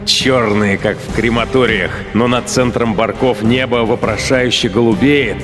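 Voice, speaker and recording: An actor playing a cold, fanatical, contemptuous Nazi SS commander in the interior monologue of a radio play. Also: male voice, medium pitch, steady tone, measured pace, lightly acted. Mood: grim, brooding. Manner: self-assured, declamatory, scornful. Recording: studio radio drama with music behind. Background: music.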